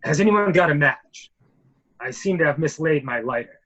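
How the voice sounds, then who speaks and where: A man's voice: a little high, animated, normal speed, highs cut off. A man playing a genteel, blustering army major with formal, hedging speech in a murder mystery reading. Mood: evasive, blustering. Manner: formal, hedging, old-fashioned gentlemanly phrasing. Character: blustering, genteel military major running for Congress.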